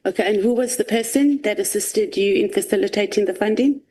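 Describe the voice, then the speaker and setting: A woman asking a witness measured questions at a formal hearing, pausing often. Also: mid-range voice, warm tone. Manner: measured, with frequent "uh" pauses. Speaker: committee member questioning a witness.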